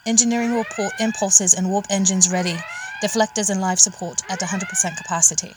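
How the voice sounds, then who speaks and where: A woman's voice: mid-range voice, steady tone, quick pace, noisy background, lightly acted. A woman playing an eager, rule-bending chief engineer aboard a starship in a science fiction audio drama. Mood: reporting, businesslike.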